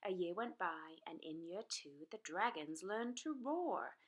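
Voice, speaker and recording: A woman narrating a children's storybook for preschoolers in an expressive, rhythmic delivery. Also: fairly low pitch, a little slow.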